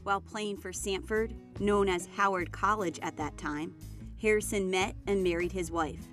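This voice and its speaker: A woman's voice: medium pitch, fairly even intonation, moderate pace, fairly bright tone, faint music behind. A woman co-anchoring and reporting in scripted TV sports news narration. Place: TV broadcast.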